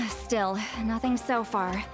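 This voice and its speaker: A woman's voice: fairly high pitch, steady tone, slow. A woman voicing a confident, playful, dashing female elder warrior in an anime video game, teasing and self-assured. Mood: matter-of-fact. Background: game music.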